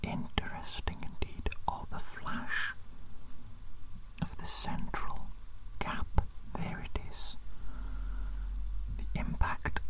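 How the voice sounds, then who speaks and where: A performer voicing an eccentric, bossy evil doctor in a breathy whisper for an ASMR medical roleplay, theatrical and mock-formal, repeating phrases. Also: lightly acted, low-quality recording. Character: eccentric evil doctor, bossy, easily offended, gleefully fascinated.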